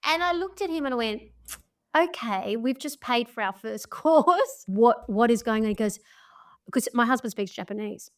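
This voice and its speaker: A woman's voice: a little high, animated, moderate pace. Australian woman, podcast guest, talkative, laughs through her stories, easy conversational delivery. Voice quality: chatty, laughs often. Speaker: podcast guest, travel creator. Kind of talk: casual storytelling chat.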